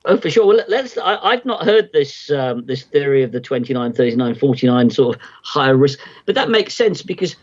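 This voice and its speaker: A middle-aged man speaks as a podcast guest, talking in a reflective, meandering way and often restarting his sentences. His voice sits at an ordinary pitch for a man. The audio is a little dull and lo-fi. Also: sing-song, brisk, few pauses. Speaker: guest market analyst. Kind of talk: podcast interview, explaining.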